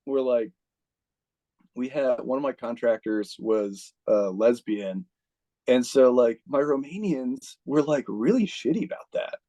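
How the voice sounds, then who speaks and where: An American man, a retired army officer, telling stories as an interview guest in a chatty, laid-back way, full of "like" and quick laughs. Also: medium pitch, animated, warm tone. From United States. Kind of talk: casual storytelling.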